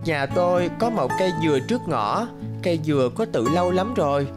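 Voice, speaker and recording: A woman's voice: on the low side, lively intonation, fairly quick, close to an everyday voice. A woman voicing a stubborn, sincere young schoolboy in a cartoon. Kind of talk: cartoon voice acting. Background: music.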